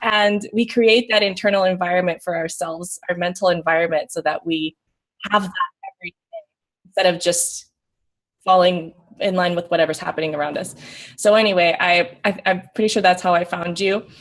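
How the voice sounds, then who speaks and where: A woman from Peru, a coach for moms, speaking as an interview guest in an earnest, reflective way with many halting restarts. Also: on the low side, steady tone, poor audio. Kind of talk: casual interview chat.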